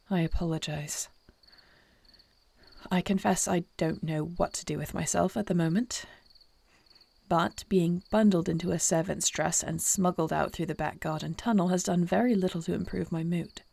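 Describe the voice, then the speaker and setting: A woman's voice: fairly low pitch, fairly even intonation, measured pace, vocal fry, long pauses, darkish tone. A woman playing a short-tempered but caring newly crowned queen in a fantasy audio roleplay, with formal, regal phrasing. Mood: irritated, confused.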